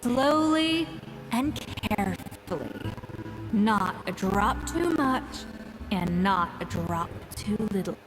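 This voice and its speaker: An actress voicing an exacting, proud young master chef in an anime video game, giving precise, bossy instruction. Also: female voice, mid-range voice, very animated, slow pace, music in the background, low-quality recording. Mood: measured, instructive.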